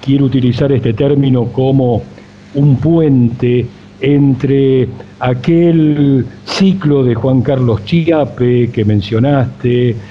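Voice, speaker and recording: A man interviewing on radio, posing wordy, reflective questions. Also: mid-range voice. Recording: slightly dull radio sound, faint noise.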